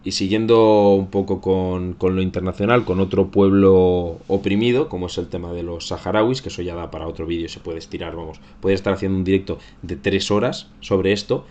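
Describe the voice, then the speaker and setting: A man with a low-pitched voice and an accent from Spain talks through current affairs live. He is conversational and unscripted, often doubling back to repeat or reword a phrase as he goes. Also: fairly even intonation, a little fast, few pauses, dark tone, dull audio. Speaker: host of a current affairs livestream. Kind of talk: casual live news commentary.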